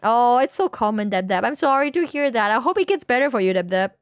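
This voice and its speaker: A woman's voice: on the high side, expressive, quick pace, clear, resonant tone, no echo, studio quality. A woman livestreamer chatting casually with her viewers, rambling along, repeating words and searching for terms. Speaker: game livestreamer.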